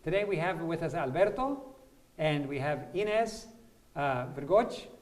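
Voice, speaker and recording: An older man hosting an interview and introducing guests in a halting, deliberate way. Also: a little high, animated. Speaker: host, missionary preacher.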